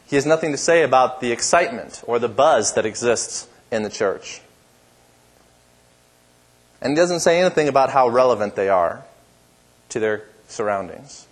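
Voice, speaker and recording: A pastor delivering a sermon in a church with a strong, powerful voice and an emphatic, repetitive preaching cadence. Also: male voice, medium pitch, animated, moderate pace, long pauses, poor audio.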